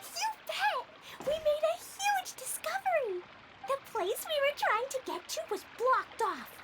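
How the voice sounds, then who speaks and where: Extremely high, eager little girl adventurer. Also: animated, unhurried, noisy background. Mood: excited. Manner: eager, exclamatory. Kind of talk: video game voice acting.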